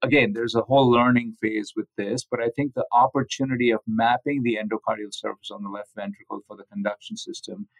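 A man's voice: lowish voice, fairly even intonation, fast talker, hardly any pauses, no room sound, rough recording. A man speaking as a physician guest in an interview, explaining technical research with enthusiasm.

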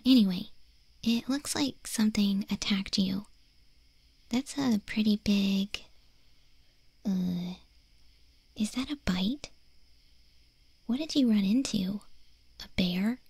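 A woman playing a friendly, curious young wolf girl in an ASMR roleplay, speaking softly and gently in a breathy, hesitant near-whisper. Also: medium pitch, dry recording. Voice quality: soft, breathy, mostly whispered.

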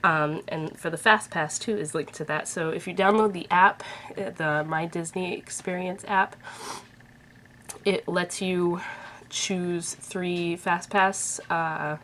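Woman, 30s, congested with a cold, rambling cheerful chat, lowish voice, faint hiss. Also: fairly even intonation, slow, fairly bright tone. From Massachusetts. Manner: rambling, chatty, upbeat. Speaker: vlogger. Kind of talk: casual chat to camera. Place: bedroom at home, indoors. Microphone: webcam at desk distance.

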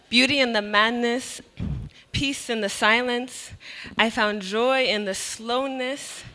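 Bright-voiced young woman reciting spoken word. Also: a little high. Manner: expressive, laughs between lines. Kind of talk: spoken word poetry performance. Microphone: handheld mic on a stand.

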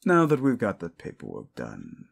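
A smug male demon, acted by a man, mocks his listener in an intimate roleplay drama. His voice sits low and creaks with fry, while his pitch swings widely as he condescends. He is sardonic and exasperated throughout. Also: exaggerated voice. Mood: taunting, smug. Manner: condescending, mocking, drawling exasperation.

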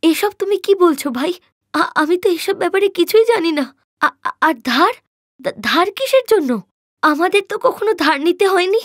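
A woman's voice: very high-pitched, lively intonation, normal speed, fairly bright tone, no echo, clean studio sound, voice pushed up. A woman voicing an anxious, long-suffering poor village wife in a cartoon story, plaintive. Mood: distressed.

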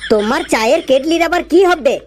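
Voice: high-pitched, animated, fast, a little hiss or hum. An actor playing a wheedling, cheeky freeloading customer in a comedy cartoon, teasing in a mock-earnest way. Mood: pleading.

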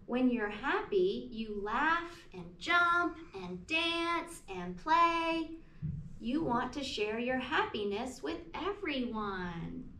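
A woman with a high voice reads a children's picture book very slowly. Her delivery is sing-song and aimed at small children. She is recorded in an echoey classroom. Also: lively intonation, sharp tone. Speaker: preschool teacher reading to children. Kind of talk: picture-book read-aloud for young children. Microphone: camera a few meters away. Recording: echoey room, distant mic, background noise.

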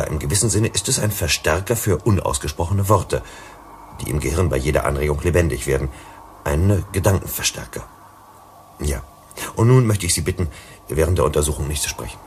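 Deep-voiced, earnest psychologist. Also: male voice, lively intonation, brisk, slight background noise. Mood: calm, explanatory. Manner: pleading, explanatory, emphatic. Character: earnest, persuasive male company psychologist and negotiator. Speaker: actor in a radio play. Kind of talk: German sci-fi radio drama acting.

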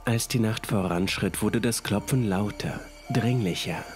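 A man with a fairly low voice narrates a horror story. His delivery is deliberate and ominous, set over music and the sound of rain. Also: darkish tone. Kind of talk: scripted first-person horror narration.

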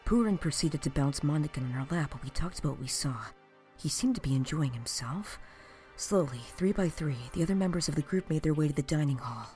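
Woman playing a weary teen narrator, low voice, matter of fact, music behind, audio drama. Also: lively intonation, a little fast, mellow. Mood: calm.

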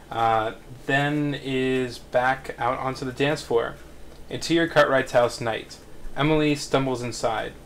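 Male narrator for screenplay stage directions, medium pitch, slight background noise. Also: fairly bright tone. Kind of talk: scripted narration at a table read.

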